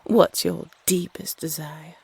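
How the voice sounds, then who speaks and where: Female Cupid, played by a woman, roleplay audio: sultry, playfully condescending, creaky and husky, lingering over phrases. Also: low-pitched, fairly even intonation, darkish tone, exaggerated voice. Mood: teasing, coaxing. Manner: sultry, coy, teasing, drawn-out phrasing. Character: teasing, flirty female Cupid spirit, playfully condescending to humans. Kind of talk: intimate roleplay audio acting.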